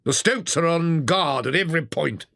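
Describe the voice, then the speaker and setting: A reader voicing a steady, authoritative older male badger in a storybook. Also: medium pitch, creaky, dry recording, clean studio sound, pitched above the performer's own voice. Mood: reassuring. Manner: measured, authoritative.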